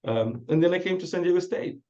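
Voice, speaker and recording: A man's voice: high-pitched, animated, fairly quick, dark tone, highs cut off. A man, chatting casually as a chef guest in an interview, with easy fillers and restarts. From southern Brazil.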